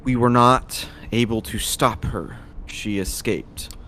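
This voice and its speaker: A man's voice: medium pitch, slow, sharp tone, a little hiss or hum. A man playing an earnest, loyal vampire operative in an amateur visual novel dub, plain-spoken in delivery. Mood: serious.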